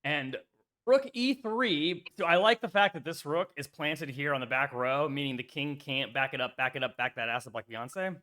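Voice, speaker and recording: A man co-hosting a casual chess stream, a lively storyteller with a slightly raspy voice who acts out quotes and swears freely. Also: on the high side, normal speed. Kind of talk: casual chat.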